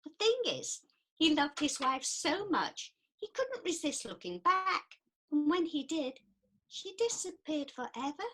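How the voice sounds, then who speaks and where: Woman acting a prim, high, very animated counsellor. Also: measured pace, full character voice. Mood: sorrowful. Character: earnest, easily offended female counsellor in ancient Greece. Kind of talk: radio play acting.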